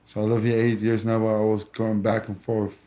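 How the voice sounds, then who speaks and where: A man's voice: lowish voice, monotone, brisk, dark tone. Man, boxer, casual interview, simple phrasing, restarts, easy laugh. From Germany. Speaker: professional boxer, guest.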